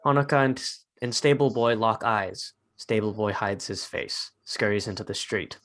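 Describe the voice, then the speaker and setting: A man's voice: on the low side, normal speed, a bit bright. Man narrating screenplay stage directions. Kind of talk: scripted narration, dramatic table read.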